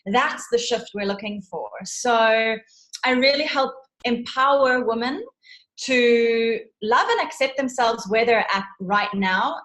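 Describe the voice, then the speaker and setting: A woman's voice: a little high, fairly bright tone, poor audio. A woman with a South African accent explaining her work as a coach in an earnest, emphatic, conversational way. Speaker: interview guest, self-love and confidence coach.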